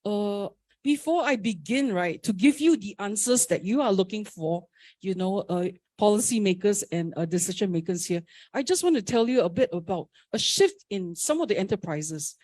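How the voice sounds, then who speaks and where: A woman's voice: medium pitch, animated, normal speed, darkish tone, dry recording. A woman who runs a startup speaking on a conference panel, chatty and self-deprecating.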